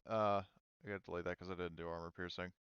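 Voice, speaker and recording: A man running a tabletop role-playing game as game master, halting and thinking aloud as he talks. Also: low-pitched, flat delivery, dull audio, noisy background.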